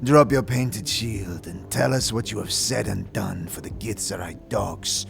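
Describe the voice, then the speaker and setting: An actor playing a menacing, contemptuous githyanki warrior leader who gives commands in archaic, formal speech in a fantasy audio drama. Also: male voice, fairly low pitch, measured pace, gravelly, fairly bright tone, faint music behind, exaggerated voice.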